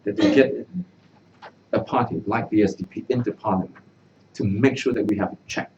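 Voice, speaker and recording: A man speaking earnestly at a press conference, halting and repeating words as he makes his case. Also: fairly low pitch, a little mellow. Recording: distant mic, dull, noisy room.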